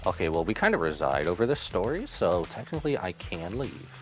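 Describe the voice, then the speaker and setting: A man's voice: low-pitched, very animated, brisk, faint music behind. A man giving casual, blunt and sweary reaction commentary as a game streamer.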